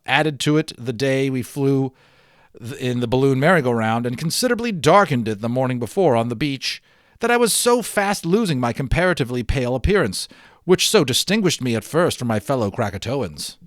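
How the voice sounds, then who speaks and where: A man's voice: medium pitch, big pitch swings, moderate pace, dry recording, clean studio sound. A man giving a dramatic storybook read-aloud of a children's novel, with theatrical, emphatic phrasing.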